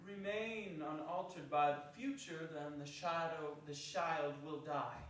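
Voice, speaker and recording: A man playing a solemn, stern Christmas spirit in a dramatic stage reading, portentous and measured. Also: a little high, a bit bright. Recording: echoey hall, distant mic, faint noise.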